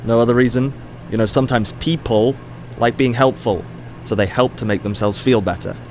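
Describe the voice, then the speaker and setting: A man's voice: medium pitch, fairly even intonation, a little fast, darkish tone, soft background music, natural voice. A man playing a wry, weary ethics professor in an audio drama, dry, sarcastic and mildly exasperated in a natural style. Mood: probing, weary.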